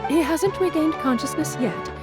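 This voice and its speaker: A woman's voice: sits high, moderate pace, close to an everyday voice. A woman playing a deferential female clerk in a video game, with courteous, formal speech. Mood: respectful, helpful. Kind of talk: scripted video game voice acting. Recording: music behind the voice.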